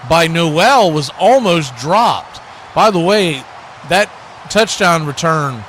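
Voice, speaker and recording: A man's voice: high voice, fairly bright tone, slight background noise. An American man calling football play-by-play for radio, hosting in clipped announcer phrases. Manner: announcer delivery describing action in clipped phrases. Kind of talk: live American football commentary and station promos.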